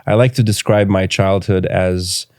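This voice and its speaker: Deep smooth voiced guy from romania, native romanian speaker, thoughtful podcast guest, halting, close dry sound. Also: steady tone, darkish tone, dry recording. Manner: thoughtful, halting, pauses to choose words. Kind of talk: reflective interview conversation.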